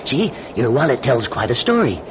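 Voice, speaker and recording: A man's voice: mid-range voice, very animated, moderate pace, close to an everyday voice. An American actor, a man, playing a scrawny, chatty sixty-year-old pickpocket with a folksy, wheedling manner in a radio drama. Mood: hushing, smug. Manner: folksy, wheedling, hesitant chuckling talk. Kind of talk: 1940s-style radio drama acting. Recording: muffled, noisy radio drama recording.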